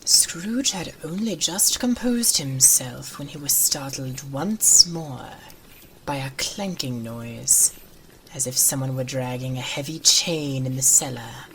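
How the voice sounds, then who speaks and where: A woman narrating a classic ghost story as a dramatic audiobook, theatrical and suspenseful, with a tendency toward hushed, breathy delivery. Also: extremely low pitch, takes it slowly, slight background noise, poor audio. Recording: loud music under the voice. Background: music.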